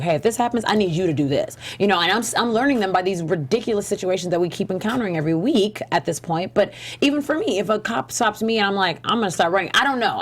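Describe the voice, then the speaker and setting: A young woman co-hosting a political panel discussion, chatty and animated with lots of exclamations. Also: lowish voice. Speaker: co-host.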